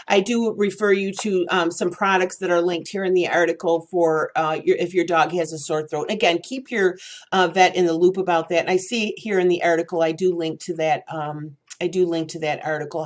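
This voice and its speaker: A woman hosting a pet care channel, giving casual advice in a rambling, chatty way with many fillers. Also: lowish voice.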